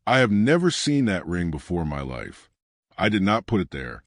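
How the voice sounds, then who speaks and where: A man voicing a quiet, steadfast young house girl in an audio story, speaking steadily. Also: low-pitched, big pitch swings, normal speed, no room sound, clean studio sound, exaggerated voice. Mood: quietly firm.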